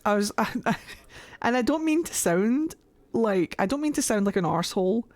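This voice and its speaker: A woman's voice: mid-range voice, lively intonation, moderate pace, a little mellow, no echo. A young Scottish woman chatting casually and rambling while she streams a video game, laughing often. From Scotland. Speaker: livestreamer. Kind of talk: casual chat while gaming.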